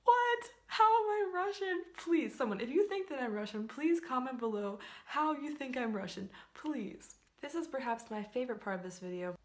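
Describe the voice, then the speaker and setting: A woman's voice: high-pitched, animated, normal speed, hardly any pauses. A young woman from the United States with a standard American accent, chatting animatedly to the camera in a casual vlog from a small bedroom, gesturing as she talks. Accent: General American. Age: young adult. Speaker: vlogger.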